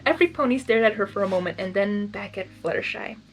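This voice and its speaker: A woman giving a lively, expressive first-time reading of a fan story. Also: mid-range voice, fast, soft background music, poor audio. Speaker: storybook reader.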